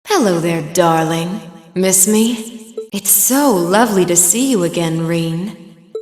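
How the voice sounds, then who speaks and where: A woman's voice: lowish voice, expressive, slow pace, a little mellow. A woman voicing an elegant, coy villainess sorceress in a video game, sultry and condescending as she teases. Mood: coy, teasing. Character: elegant, teasing villainess sorceress speaking through her bird familiar. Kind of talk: English video game voice acting. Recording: roomy long reverb, music underneath. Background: music.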